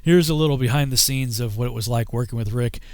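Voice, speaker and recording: A man's voice: mid-range voice, fast talker, a little mellow, no echo. A man narrating his filmmaking story in a conversational documentary style.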